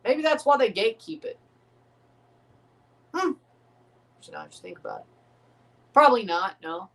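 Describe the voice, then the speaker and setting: A man's voice: high-pitched, huge pitch swings, measured pace, a bit bright, distant mic. Rambling, profane man. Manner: rambling, profane, second-guessing himself. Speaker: livestream host reacting to a match. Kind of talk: casual livestream reaction chat.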